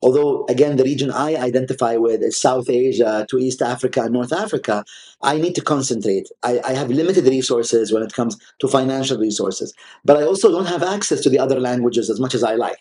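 A man's voice: mid-range voice, a little fast, a little mellow. A man whose first language is Arabic, speaking as an art collector guest in a conversational interview, with frequent restarts and fillers. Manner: hesitant, many restarts and fillers.

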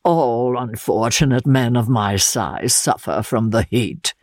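A woman voicing a large, suave gentleman count in an audiobook, falsely polite, courtly and teasingly inquisitive. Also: very deep voice, slow, dry recording, clean studio sound, voice pushed down, full character voice. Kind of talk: dramatic audiobook character reading.

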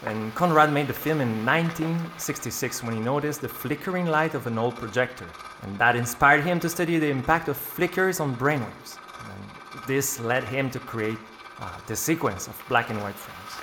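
An actor playing a museum staff member, talking hesitantly with fillers in an audio drama. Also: male voice, mid-range voice, moderate pace, background noise, close to an everyday voice. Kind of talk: scripted audio drama dialogue.

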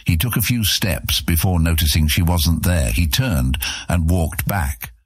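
An extremely deep male narrator with a husky grain, reading scripted fantasy fiction. The recording is dry and close, like a studio audiobook. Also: animated, unhurried, no echo.

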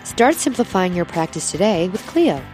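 A woman reads a scripted commercial in a polished, persuasive way. Her voice is on the lower side, and soft music plays under it. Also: very animated, normal speed. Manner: smooth, persuasive. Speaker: ad announcer. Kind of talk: scripted ad read.

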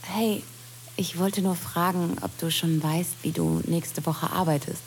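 An actress playing a friendly, easygoing young babysitter in an intimate audio drama, speaking softly in a hushed voice. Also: female voice, on the low side, steady tone, measured pace, gravelly, slight background noise. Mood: casual, tentative.

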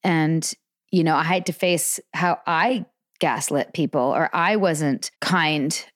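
Woman, low voice, dry sound, casual podcast talk, reflective, hedging. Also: steady tone, slow pace, no echo. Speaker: podcast co-host. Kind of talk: casual conversational podcast discussion.

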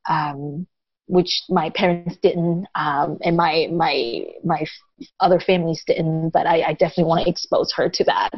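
A woman who grew up in Taiwan, a guest in a casual interview, talking in a thoughtful and unhurried way with lots of pauses. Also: low voice, husky, dry recording. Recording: dull lo-fi dry audio.

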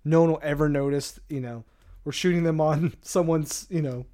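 A man's voice: a little high, normal speed, warm tone. A man co-hosting a movie podcast, chatting casually in a wry, joking way. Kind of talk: casual chat.

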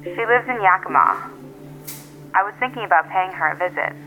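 A woman's voice: mid-range voice, animated, normal speed, soft background music. An actress playing a self-assured, blunt woman in an audio drama, heard through a telephone filter, dry and direct. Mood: matter-of-fact. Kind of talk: naturalistic audio drama acting. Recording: thin filtered telephone line.

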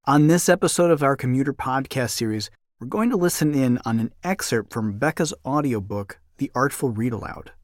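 A man's voice: mid-range voice, expressive, fairly quick, hardly any pauses, no room sound, clean studio sound. A man reading a scripted podcast introduction as the host.

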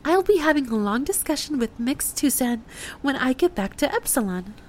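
High-voiced practical moonbase leader. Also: female voice, big pitch swings, normal speed, darkish tone. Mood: practical, cautious. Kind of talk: audio drama dialogue.

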